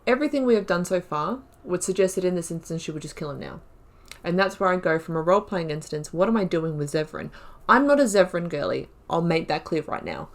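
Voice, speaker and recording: Young woman gamer rambling casually thru her playthrough, kinda low voice. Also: very animated, brisk. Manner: chatty, thinks aloud about story choices. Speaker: gaming video creator. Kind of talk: casual let's-play commentary.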